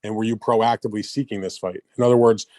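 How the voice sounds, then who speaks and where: A man's voice: lowish voice, fairly even intonation, quick pace, mellow, no room sound, studio quality. A man working as a reporter, asking long, roundabout questions conversationally on a media call. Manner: wordy, roundabout. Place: remote media conference call.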